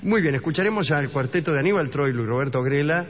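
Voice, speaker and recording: A man's voice: a little high, animated, quick pace. A middle-aged man with an Argentine Rioplatense accent, hosting a radio show and telling a comic story in a wry tone, slipping into other voices for the characters. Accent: Rioplatense Spanish. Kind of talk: humorous radio monologue with banter. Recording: dull radio broadcast with long reverb.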